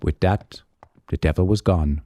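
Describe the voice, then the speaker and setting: A man narrating a folk tale as a storyteller, with theatrical, deliberate phrasing. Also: low voice, warm tone, no room sound, studio quality.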